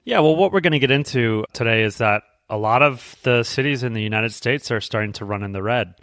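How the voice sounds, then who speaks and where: A man's voice: medium pitch, a little fast, a bit bright, dry recording, studio quality. A man co-hosting a podcast, explaining things in a casual conversational style. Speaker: podcast co-host.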